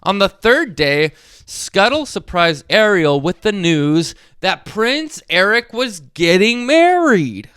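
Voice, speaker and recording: A man tells a story for young children in a high, clear voice. His delivery swings widely in pitch and stays expressive, as if talking straight to little kids. The sound is dry and close. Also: takes it slowly, no echo. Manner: expressive, addresses young listeners directly. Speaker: storyteller, host of a read-along channel. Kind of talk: animated children's read-aloud with short asides.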